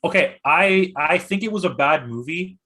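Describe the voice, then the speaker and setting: A man's voice: on the high side, lively intonation, normal speed, bright, forceful tone. A man in a casual movie discussion, speaking as a guest critic with emphatic, hyperbolic complaints.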